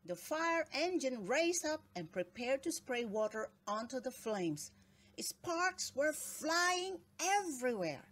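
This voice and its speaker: Slow-paced woman reading a children's storybook. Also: sits high, very animated, fairly bright tone, a little hiss or hum. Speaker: storybook reader. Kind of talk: expressive children's read-aloud narration.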